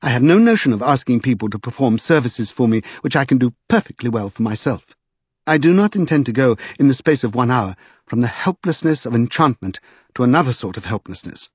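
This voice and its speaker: An imperious, indignant noblewoman from a storybook, acted out by a male narrator. The pitch is raised, and the speech is formal, emphatic and urgent. The voice is a little husky, on a dull recording. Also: a little fast, no echo, natural voice. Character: indignant, strong-willed aristocratic lady, urgent and imperious.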